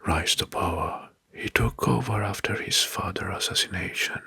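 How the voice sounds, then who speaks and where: A man narrating facts as ASMR in a hushed, breathy whisper, halting and softly repeating words. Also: low-pitched. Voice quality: breathy, mostly unvoiced whisper.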